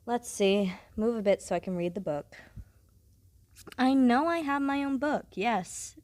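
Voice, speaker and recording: A woman playing a blunt, aloof, studious student in a scripted audio roleplay, speaking in a deadpan way with formal wording. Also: a little high, no room sound, close to an everyday voice. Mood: focused, matter-of-fact. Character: blunt, aloof, studious female student, coolly matter-of-fact.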